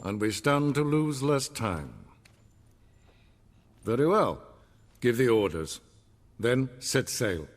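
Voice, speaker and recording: An actor playing a cold, impatient emperor in a video game, speaking with measured, haughty command. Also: male voice, medium pitch, sing-song, long reverb tail. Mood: cool authority. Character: imperious, cold, impatient middle-aged male emperor.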